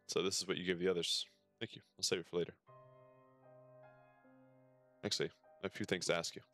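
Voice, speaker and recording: A man with a low-pitched voice reads story dialogue from a game aloud for a stream. He drops in casual comments and sometimes trips over the text. Music plays behind him. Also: level tone, racing, a bit bright. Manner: stumbles over text while reading aloud.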